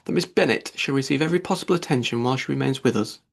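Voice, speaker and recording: A man's voice: medium pitch, racing, vocal fry. A man voicing a haughty young lady in a classic novel audiobook, formal and coldly civil. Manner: icily polite, formal.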